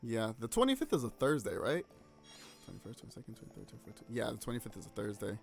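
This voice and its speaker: A man's voice: on the low side, sing-song, fast, gravelly, soft background music. A man live-streaming a game, chatting casually, laughing often and swearing freely as he narrates his play.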